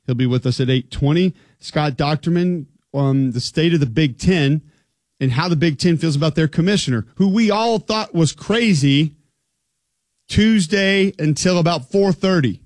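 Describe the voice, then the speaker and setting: A man's voice: a little high, moderate pace, darkish tone, no echo, studio quality. A man hosting a college football talk show, chatty and emphatic. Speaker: sports radio host.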